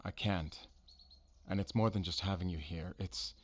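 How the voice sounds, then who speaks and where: A man playing a brooding, guarded werewolf hunter in an audio roleplay, speaking in a solemn, halting, intimate way to the listener. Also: low-pitched, steady tone, breathy edge. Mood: torn, pained. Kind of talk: cinematic audio roleplay acting.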